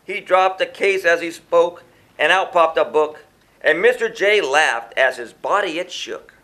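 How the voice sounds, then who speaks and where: A man's voice: a little high, measured pace, pushed and bright. A man reading a rhyming children's story aloud in a theatrical, sing-song, emphatic storyteller's delivery. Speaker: children's book author reading their own book.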